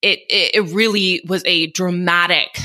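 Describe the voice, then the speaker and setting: A woman hosting a podcast, interviewing in a casual, animated and informal way. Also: fairly low pitch, a little slow. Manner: animated, informal, chuckles as she talks. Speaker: podcast host.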